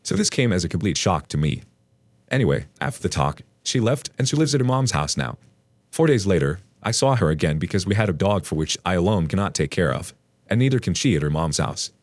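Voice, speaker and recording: A man reading an online post aloud as a storytelling narrator, in an expressive read. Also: low voice, a little fast, no room sound.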